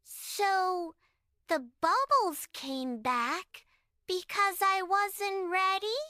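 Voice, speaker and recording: Performer as a very high-pitched little princess. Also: very slow, sharp tone, no echo, clean studio sound, heavily acted. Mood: unsure, questioning. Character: impatient young princess, small, soft-hearted and sorry. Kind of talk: children's bedtime story character dialogue.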